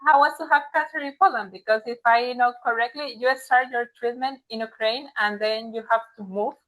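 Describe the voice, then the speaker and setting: A woman hosting an interview, welcoming viewers and asking her guest upbeat, quick questions. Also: fairly high pitch, a bit bright, rough recording.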